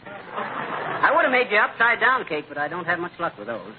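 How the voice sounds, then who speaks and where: A blustery, boastful American husband who wisecracks his way through old radio comedy. His voice sits high and jumps around in pitch. It comes through a tinny, noisy vintage recording. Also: sing-song, normal speed. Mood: bumbling, wisecracking. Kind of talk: 1940s radio comedy acting.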